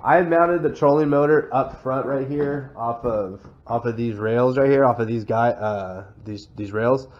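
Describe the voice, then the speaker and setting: A man's voice: mid-range voice, measured pace, hardly any pauses. A Texan man with a stuffy head cold, casually walking through his kayak setup with lots of fillers and restarts. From Texas. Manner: rambling, many "uh" fillers and restarts. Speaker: kayak vlogger. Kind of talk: casual show-and-tell walkthrough. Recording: slightly dull sound with faint noise.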